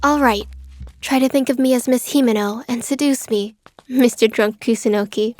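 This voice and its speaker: An actress playing a polite, reserved, dryly skeptical young woman in a manga dub, speaking formally and deadpan. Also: fairly high pitch, normal speed, natural voice. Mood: wryly amused.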